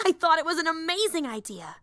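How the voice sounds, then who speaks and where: A high-pitched, excitable young superhero played by a woman for an anime-style audio drama. She is a nerdy, earnest rambler who gets flustered, apologizes and cuts herself off. The recording is dry and close, with no room sound. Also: fast talker, no room sound, exaggerated voice. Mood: earnest, enthusiastic. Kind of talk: roleplay audio drama acting.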